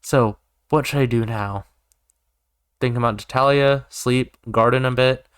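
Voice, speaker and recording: A man's voice: mid-range voice, fairly even intonation, a little slow, clear, resonant tone, no room sound, studio quality. A man reading a visual novel aloud as a gaming YouTuber, sometimes stepping in with casual asides.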